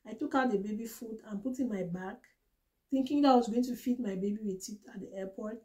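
A young woman from Cameroon talks to the camera in a casual vlog. Her voice sits a little high, and she sounds chatty and earnest as she retells her own trip, with small restarts along the way. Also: a little fast, mellow. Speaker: vlogger sharing travel tips.

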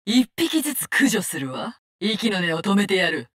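A vengeful female assassin from a tactical video game. Her voice sits at a medium pitch. She delivers short, commanding lines with icy contempt and menace. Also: big pitch swings, normal speed, slightly rough. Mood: menacing. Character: menacing, cold, vengeful woman poison-gas assassin. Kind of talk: video game character barks in Japanese.

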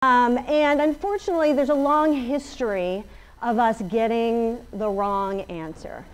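A woman statistician giving a lively talk to a crowd without a working microphone, speaking energetically with many fillers and questions to the audience. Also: on the high side, unhurried, clean tone, low-quality recording. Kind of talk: conference talk. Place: large open office event space. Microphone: no working mic, room pickup from a far camera.

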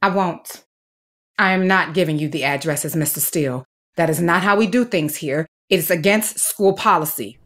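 An actress playing an earnest, firm schoolteacher in an audio drama, measured and direct, pleading but principled. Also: female voice, on the low side, a bit bright, slight background noise. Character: earnest, firm, dedicated woman elementary school teacher. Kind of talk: naturalistic audio drama acting.

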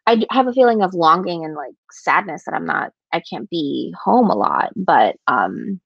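A woman from Ecuador speaks over a video call in a breezy, casual way. She often starts over mid-sentence. Her voice sits somewhat low, and the audio is dry and dull. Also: very animated, moderate pace, vocal fry, slightly rough, no echo. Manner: breezy, restarts a lot, laughs. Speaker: author panelist. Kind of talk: casual panel talk. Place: home room on a video call. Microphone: video call webcam mic.

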